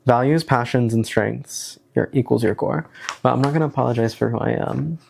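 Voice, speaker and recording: A man's voice: medium pitch, fairly quick, gravelly, darkish tone, slight background noise. A young man with a cold and a stuffy, nasal voice, rambling through a self-help worksheet in a casual vlog. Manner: rambling, hesitant, many fillers. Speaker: vlogger. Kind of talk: casual chat, reading worksheet aloud.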